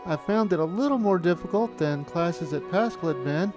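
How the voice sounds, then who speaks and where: A man's voice: high voice, expressive, normal speed, over music. A middle-aged American man narrating a look back at his amateur filmmaking. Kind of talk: retrospective narration to camera.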